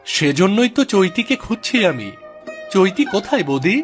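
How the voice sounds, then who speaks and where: A high-pitched, earnest young village man, played by a male actor in a cartoon drama. He speaks emphatically, pressing and pleading. Clear background music runs under his voice. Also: very animated, moderate pace. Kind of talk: dramatized Bengali cartoon story dialogue. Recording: music behind the voice.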